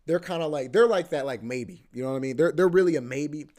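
A man's voice: fairly high pitch, expressive, fast talker, a little mellow. A man hosting a podcast, rambling casually about sports, repeating himself, swearing and saying "bro" a lot. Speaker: podcast host.

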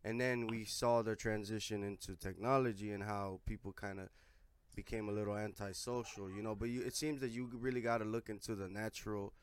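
A man in his twenties hosting a casual interview podcast, relaxed and full of "you know" fillers. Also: lowish voice, brisk, clean tone. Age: 20s. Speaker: podcast host.

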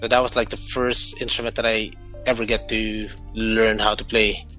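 A young man from the Philippines, a musician guest, chatting casually and filling every pause with "like" and "you know". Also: lowish voice, flat delivery, sharp tone, soft background music, poor audio. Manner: rambling, heavy on "like" and "you know". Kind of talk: casual chat.

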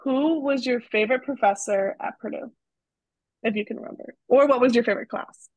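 A young woman co-hosting a student podcast, reading intros and asking quick, friendly questions. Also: fairly high pitch, darkish tone, dry recording, low-quality recording.

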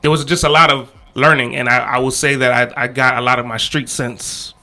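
Man in his 30s from the D.C. area, casual podcast guest; forceful, bright tone, slangy, lots of restarts. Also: mid-range voice, a little fast. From Washington, D.C. area. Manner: relaxed, repetitive restarts, slangy.

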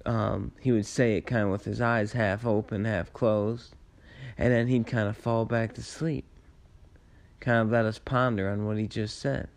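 A man with a raspy voice, tired late in the day, telling personal stories in a casual, rambling, halting and reflective way with laid-back slang. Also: lowish voice, little pitch movement. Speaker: storyteller sharing personal memories.

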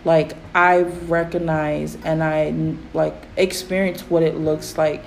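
A woman hosting a casual faith podcast, with a raspy voice and a rambling manner full of fillers. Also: deep voice, slow pace, soft background music, rough recording. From Pacific Islands. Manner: rambling, many fillers, animated when excited. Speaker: podcast host.

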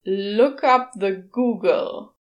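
A woman's voice: medium pitch, extremely slow. A woman voicing a brusque, moody male demon in a storybook reading, terse and grumbling. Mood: exasperated, sardonic.

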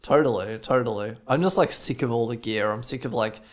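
A man's voice: mid-range voice, a little fast. An Australian man co-hosting a podcast, rambling through stories in casual chat with lots of "like" fillers. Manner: rambling storyteller, frequent "like" fillers. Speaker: podcast co-host.

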